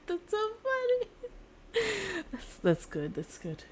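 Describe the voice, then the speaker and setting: A woman's voice: extremely high pitch, wildly swooping pitch, very slow, bright, forceful tone. A woman streamer chatting casually while gaming, in a rambling, giggly way.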